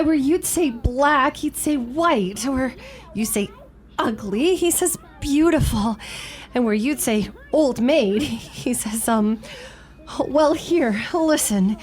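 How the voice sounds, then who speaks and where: A woman playing a dreamy yet blunt, vivacious shop clerk in a gushing radio-theatre manner. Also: sits high. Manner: gushing, theatrical, sighs and giggles. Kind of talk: old-time radio theatre acting.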